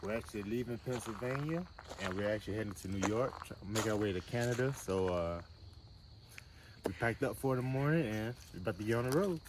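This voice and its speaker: A man's voice: fairly low pitch, fairly quick. A man speaking casually to viewers at the start of a travel day in a family vlog. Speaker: family vlogger, father. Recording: outdoor crowd and loud noise.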